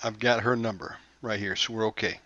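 A man's voice: lowish voice, fairly even intonation, a little fast, pushed and bright, no echo, highs cut off. A man hosting a call-in radio show, talking in a friendly, chatty way. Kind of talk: casual call-in chat.

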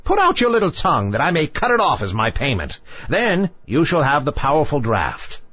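A man's voice: fairly high pitch, huge pitch swings, moderate pace, bright, forceful tone, slightly rough, dry recording, muffled recording, pitched above the performer's own voice, exaggerated voice. A man voicing a sinister, scornful old female sea witch in a storybook fairy tale, with theatrical, bargaining delivery. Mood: greedy, mocking.

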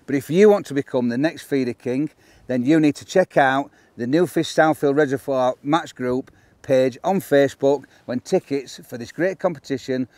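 A man's voice: mid-range voice, a little mellow, no room sound. A man in his fifties with an English accent, presenting a fishing match to camera outdoors. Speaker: angler and presenter. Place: outdoors on an open reservoir bank.

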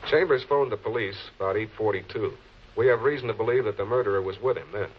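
A low male voice from an actor plays a composed prosecutor, measured and polite as he presses for answers. It is 1940s crime drama delivery on a dull old soundtrack with clear background noise. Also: lightly acted. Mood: probing. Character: composed, probing male public prosecutor questioning suspects. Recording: muffled, noisy old film soundtrack.

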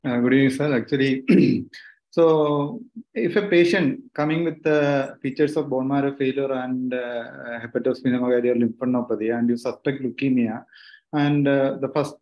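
A man in his thirties with an Indian accent, a doctor explaining haltingly as a panelist on a video call. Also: medium pitch, fairly even intonation. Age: 30s. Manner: halting, hesitant delivery with frequent pauses. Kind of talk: explanatory medical panel answer. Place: small room indoors. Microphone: laptop on a video call. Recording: dull lo-fi video call audio.

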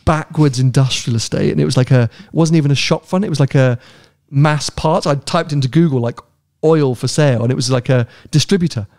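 A middle-aged man co-hosting a casual podcast chat, rambling and chatty, his voice jumping up when he gets excited, recorded on a handheld mic in a hotel room. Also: mid-range voice, fairly quick, hardly any pauses. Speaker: podcast co-host. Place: hotel room by a big window. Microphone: handheld mic with headphones.

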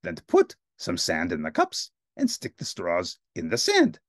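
An exuberant, friendly storybook monster playing farmer. The pitch leaps high and drops again as it gives earnest craft instructions, recorded close and dry. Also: huge pitch swings, measured pace, no room sound, exaggerated voice. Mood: eager, proud.

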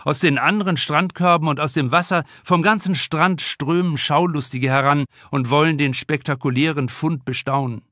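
A man narrating a comic crime novel with deliberate, expressive storytelling in a studio. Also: on the high side, dry recording. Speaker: author reading his audiobook.

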